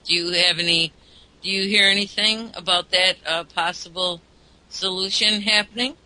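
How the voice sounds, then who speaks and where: A man's voice: sits high, fairly even intonation, normal speed, pushed and bright, poor audio. A man hosting a talk show, rambling along with lots of ums and uhs.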